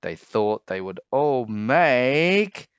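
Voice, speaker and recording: A man reading a children's storybook aloud in an expressive, dramatic way. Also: medium pitch, drawn-out words, clean tone, no room sound, lo-fi sound.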